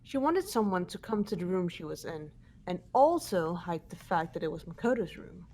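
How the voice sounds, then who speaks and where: A woman voicing a composed, analytical teenage girl in a fan-dubbed mystery game, measured and matter-of-fact. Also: lowish voice, sing-song, warm tone. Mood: calm, analytical.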